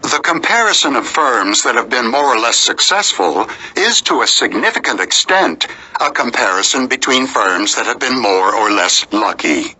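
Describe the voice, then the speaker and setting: Guy narrating a nonfiction audiobook, really bright crisp forceful voice, lively pitch, music behind. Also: normal speed, hardly any pauses, rough recording.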